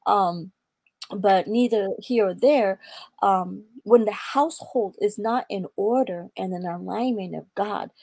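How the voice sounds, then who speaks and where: A young woman from Atlanta, Georgia, giving an earnest personal testimony in a faith interview, quoting scripture and pausing on fillers. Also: mid-range voice, creaky, mellow, dry recording. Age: young adult. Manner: earnest, preaching tone with scripture quotes. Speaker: guest.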